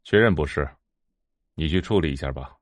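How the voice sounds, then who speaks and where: An extremely low-pitched, commanding tycoon played by a male actor for an audio drama. He is aloof and detached, giving away no emotion in his hushed, flat lines. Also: fairly quick, dry recording. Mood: cold, flat. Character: cold, aloof, powerful male business tycoon. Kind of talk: Chinese audio drama dialogue.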